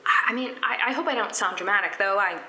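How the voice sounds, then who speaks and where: An actress playing an earnest, anxious young female medical intern in an audio drama diary, rambling and self-conscious with halting phrases. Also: medium pitch, sharp tone, roomy sound. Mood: self-conscious, hesitant. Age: young adult. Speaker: actor.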